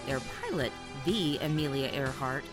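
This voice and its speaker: A woman's voice: rumbling low, animated, normal speed. A woman reading scripted storybook narration as the narrator of a radio drama. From Louisiana. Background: prominent music.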